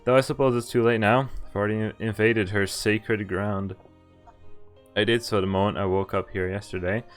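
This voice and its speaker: A young man with a fairly low voice streams a visual novel. He reads the story text aloud, then drifts into loose, joking asides with some hesitation. Game music plays faintly behind him. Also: steady tone, normal speed. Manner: casual, jokey, hesitant asides. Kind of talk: casual live stream commentary mixed with read-aloud narration.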